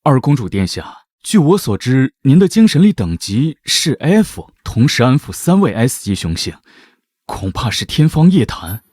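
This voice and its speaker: A man's voice: mid-range voice, sing-song, measured pace, a little mellow. A man playing a proud, stern and suspicious merman marshal in a fantasy drama, speaking formally and easily flustered. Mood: stern, doubting. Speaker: voice actor in a fantasy short drama.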